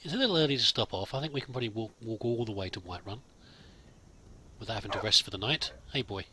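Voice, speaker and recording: Male let's play host, very bright tone, improvised in-character musing to a companion, slight background noise. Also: fairly low pitch, expressive, quick pace. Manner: musing aloud, addressing a companion. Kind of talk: improvised in-character game commentary.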